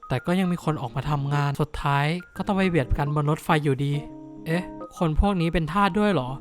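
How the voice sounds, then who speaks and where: A man voicing a bookish, easily flustered teenage schoolboy in an animated dub, grumbling to himself. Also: fairly high pitch, fairly quick, faint music behind, natural voice. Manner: flustered, grumbling inner monologue. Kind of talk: anime dub acting.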